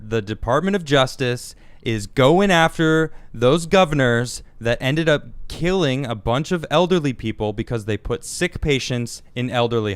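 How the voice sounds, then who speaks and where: A young American man hosts a casual talk show with a smooth, resonant voice, heard close and dry. He talks in quick restarts and turns animated and indignant on political stories. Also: mid-range voice, dry recording. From United States. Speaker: host.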